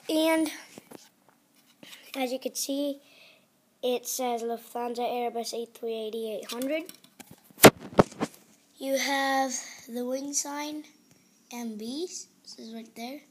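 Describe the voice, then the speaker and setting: A woman who is sick and sounds raspy, hosting a casual home review vlog indoors, talking hesitantly with many restarts into a phone held close. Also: fairly high pitch, very noisy. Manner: hesitant, restarts often, quiet and mumbly at times. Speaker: channel host. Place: indoor carpeted room at home.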